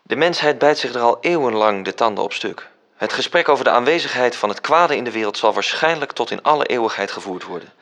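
A man from the Netherlands performing as a literary narrator in a radio play, telling the story in a measured way. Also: mid-range voice, animated, fairly bright tone. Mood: measured, storytelling.

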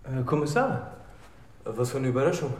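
A man's voice: mid-range voice, sing-song, normal speed, a little mellow, close to an everyday voice. An actor playing a wary, anxious man under police questioning in a radio play, guarded and hesitant. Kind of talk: German radio play acting.

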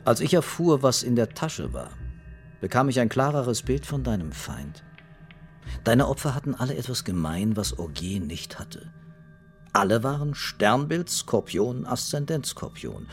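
An actor playing a calm, relentless police investigator in a radio crime drama, ironic and deliberate in his accusations. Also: mid-range voice, faint music behind. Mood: accusing.

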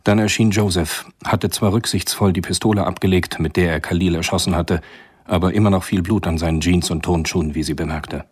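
Deep, husky male radio play narrator. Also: fairly even intonation, a little fast, darkish tone.

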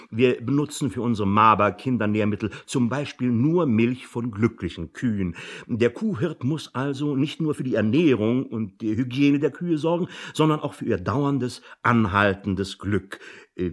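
Actor as husky, long-winded personnel manager. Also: male voice, medium pitch, lightly acted. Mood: affable, explaining. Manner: officious, long-winded, with hesitant asides. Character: verbose, self-important male personnel manager. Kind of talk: German satirical radio drama acting.

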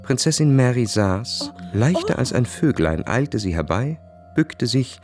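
An actor playing a proud, bored, cynical army officer who tells his story in a cool, measured, ironic way for a radio play. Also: mid-range voice, big pitch swings, darkish tone, faint music behind. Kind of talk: literary radio play narration and dialogue.

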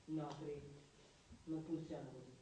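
A man's voice: on the high side, fairly even intonation, a little slow, mellow, lightly acted. An actor playing a small, tender, earnest man who dreams of family and the outside world, in theatrical stage dialogue. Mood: hushed, earnest. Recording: echoey theatre stage, distant mic, faint noise.

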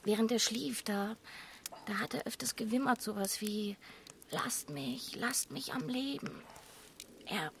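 A compassionate woman with a fairly high voice in a naturalistic radio drama. She speaks earnestly and haltingly, repeating words as she pleads. There is some noise behind her. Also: gravelly. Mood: earnest, troubled.

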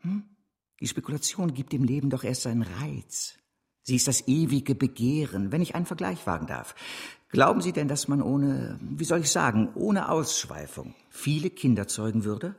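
An actor playing a persuasive, ambitious financial speculator in a radio drama, husky, with insistent teasing questions. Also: male voice, mid-range voice, sing-song, moderate pace, full character voice. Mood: persuasive, amused.

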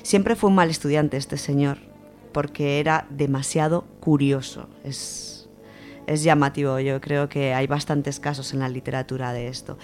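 A woman's voice: a bass voice, steady tone, moderate pace, a little mellow, soft background music. A woman with an Aragonese Spanish accent presents a literary segment on a radio show, speaking in a chatty, explanatory way with many fillers.

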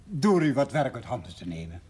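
An actor playing a tactful yet pointed village schoolmaster, courteous and husky, in a 1970s Dutch television drama. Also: male voice, mid-range voice, very animated, a little mellow. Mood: pointed, reasoning. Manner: courteous, deliberate.

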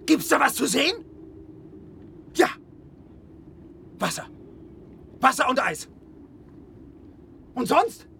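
An actor playing a bitter, hot-tempered polar sailor in a radio play, with a raspy, strained voice and a sarcastic, agitated, ranting manner. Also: male voice, extremely high pitch, very animated, takes it slowly, pauses often, bright, forceful tone, a little hiss or hum, heavily acted. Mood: bitter despair.

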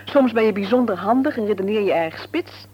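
An actress playing a sensible, frank and caring wife in a radio serial, reasoning earnestly and gently chiding. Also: female voice, mid-range voice, lively intonation, a little fast, husky, highs cut off. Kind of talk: Dutch radio serial drama acting. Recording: noisy old radio recording.

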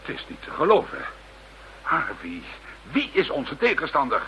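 An actor playing a steady investigator in a radio drama, plain-spoken. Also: male voice, fairly high pitch, moderate pace, a little hiss or hum, exaggerated voice. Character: steady, loyal male investigator. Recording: dull lo-fi radio drama recording.

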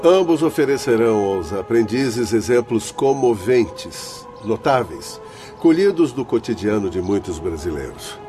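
A Brazilian man playing a grave, compassionate learned spirit doctor in a radio drama, speaking in a solemn, measured, formal way. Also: fairly low pitch, sing-song, music in the background.

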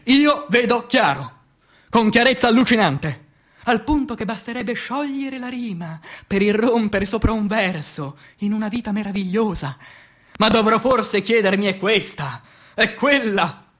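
Man performing an anguished poet, high-pitched and slow. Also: lively intonation, full character voice. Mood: fervent. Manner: declamatory, dramatic pauses, swinging from hushed to shouted. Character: anguished, passionate revolutionary poet pleading to live again. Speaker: stage actor. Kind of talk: theatrical poetry recitation, radio theatre.

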